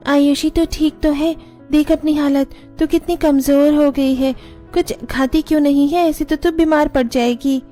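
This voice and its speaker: A woman voicing a caring sister-in-law in a cartoon, concerned and gently scolding. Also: high-pitched, fairly even intonation, unhurried, faint music behind.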